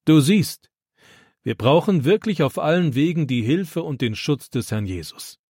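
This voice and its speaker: A man voicing a kind, pious old grandfather on a mountain hike, gentle and instructive, in a dry close recording. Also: fairly high pitch, expressive, measured pace, slightly rough, no echo, voice pushed up. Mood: tender.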